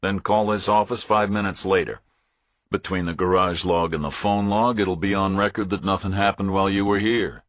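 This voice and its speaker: A man voicing a stubborn, hard-boiled lone operative in an audiobook, terse, flat and resolute. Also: low voice, moderate pace, no room sound, studio quality. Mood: calm, decisive. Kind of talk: audiobook character dialogue.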